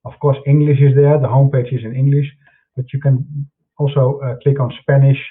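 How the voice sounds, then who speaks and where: A man's voice: mid-range voice, little pitch movement, brisk. A man with a Dutch accent presenting his websites and books in an online broadcast, speaking casually with many fillers and restarts. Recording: phone-like narrow sound.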